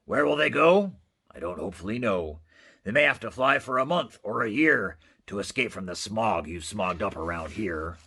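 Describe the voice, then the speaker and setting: A man voicing a gruff, croaky, indignant old forest creature who scolds in storybook rhyme. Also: fairly low pitch, animated, moderate pace, pushed and bright, pitched below the performer's own voice, exaggerated voice. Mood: gruff complaint. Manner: scolding, rhyming storybook speech.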